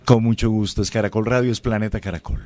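A man's voice: on the low side, steady tone, quick pace, loud music behind the voice. A man hosting a radio interview, courteous and affirming, addressing his guest formally.

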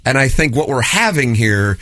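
A male radio co-host with a lively, up-and-down delivery, teasing and wisecracking with a caller. He talks into a studio mic, and the sound is dry and close. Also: mid-range voice, moderate pace, no echo. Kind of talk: casual radio talk.

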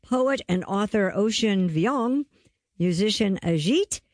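A woman's voice: mid-range voice, lively intonation, takes it slowly, no echo, clean studio sound. A woman co-hosting a talk show, chatting casually and reading entertainment news items aloud. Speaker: show co-host.

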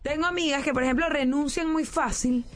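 A young woman in her late twenties hosting a talk show, chatty and animated, inviting listeners to call in. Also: high-pitched, fairly quick. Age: late 20s. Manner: chatty, animated, addresses callers. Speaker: radio host. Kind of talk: casual talk show chat.

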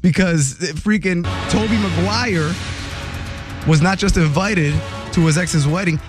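A man hosting a morning radio show, telling entertainment news in a jokey, animated way. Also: measured pace, music in the background. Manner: animated, jokey, voice jumps high for emphasis.

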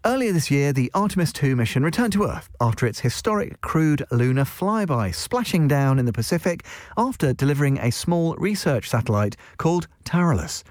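A man hosting a radio show, reading a scripted introduction in a smooth, measured way. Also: on the high side, a little mellow, no room sound, clean studio sound.

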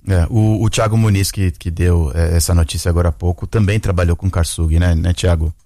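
A man's voice: deep voice, steady tone, a little fast, mellow, dry recording. A man with a Brazilian Portuguese accent anchoring live radio news in a casual, slightly hesitant manner.

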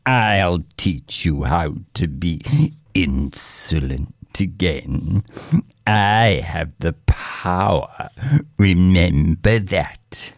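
A man acts out a haughty magistrate, the villain of a folk tale. His voice drops low and drags out every threat with pompous menace. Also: lively intonation, gravelly, no room sound, clean studio sound, heavily acted. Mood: enraged, threatening. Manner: pompous, drawn-out threatening delivery. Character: haughty, menacing male land agent and magistrate.

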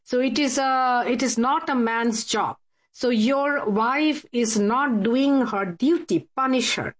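Halting woman interview guest, higher-pitched voice. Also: low-quality recording. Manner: halting, hesitant, searching for words. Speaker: guest, support worker for abuse victims. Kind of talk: radio interview, explaining from casework.

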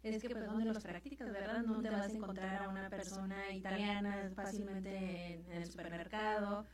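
A woman's voice: mid-range voice, little pitch movement, normal speed, very echoey, far from the mic. A woman hosting a radio interview, asking conversational questions. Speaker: host.

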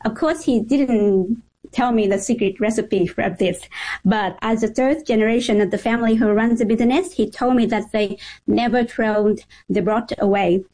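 A female journalist with a Vietnamese accent and a fairly high voice. She gives an informal, descriptive account as a guest in a radio interview. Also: normal speed, dark tone, poor audio.

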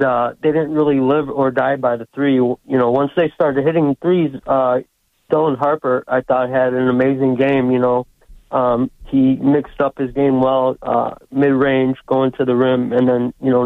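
A tinny, dry phone line carries a man calling into a sports show. His pitch moves very little. He speaks casually and haltingly, leaning on filler phrases. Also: level tone, vocal fry, dry recording. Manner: hesitant, says "you know" constantly. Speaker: caller to a sports radio show. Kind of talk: casual basketball breakdown. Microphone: phone call.

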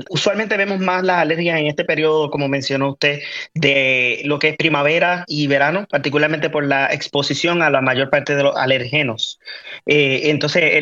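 A man's voice: on the high side, pushed and bright, dull audio. A young man from Puerto Rico with a mild Puerto Rican accent, a family doctor explaining symptoms as a guest, with many fillers and restarts. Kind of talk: casual interview talk.

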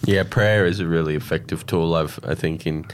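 An Australian man hosting a radio show, chatting in a relaxed, storytelling way with plenty of fillers. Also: sits low, moderate pace, slight background noise. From Alice Springs, Australia. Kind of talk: casual radio chat.

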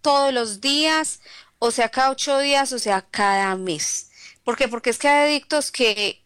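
A woman's voice: high-pitched, moderate pace, a bit bright, clean tone, no echo. Firm-spoken woman, dry studio sound. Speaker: radio co-host, counselor. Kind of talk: casual radio call-in chat.

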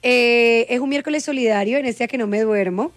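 A Colombian woman hosts a morning radio show in a chatty, teasing manner. Her voice sits a little high. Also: a little fast, soft background music. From Colombia, Cali. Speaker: radio host. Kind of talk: casual radio chat.

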